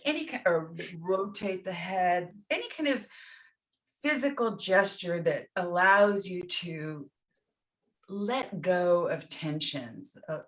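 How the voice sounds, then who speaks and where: A woman leading a guided meditation as a teacher, speaking gently and soothingly in short phrases with pauses between them. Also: medium pitch.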